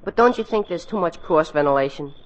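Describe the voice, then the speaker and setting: An actor playing a hungry, reluctant American teenage boy who wisecracks deadpan in 1940s radio comedy. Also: a little high, a little fast, natural voice. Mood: glum, droll. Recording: muffled, noisy old radio recording.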